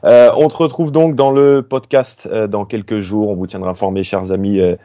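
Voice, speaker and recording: Mellow low man hosting a podcast. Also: fairly even intonation, normal speed, no echo. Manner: conversational, asks questions. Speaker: host. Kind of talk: podcast interview.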